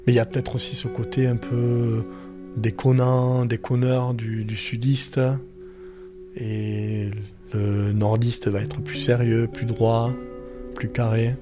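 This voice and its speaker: A man's voice: mid-range voice, little pitch movement, slow pace. A man talking casually in an interview, in an easygoing way and loose fragments. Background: music.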